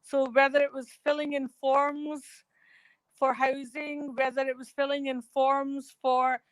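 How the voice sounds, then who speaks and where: A woman's voice: high-pitched, flat delivery, unhurried, dry recording, poor audio. A woman talking as a guest in a remote radio interview, rambling and hesitant with many fillers. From Scotland.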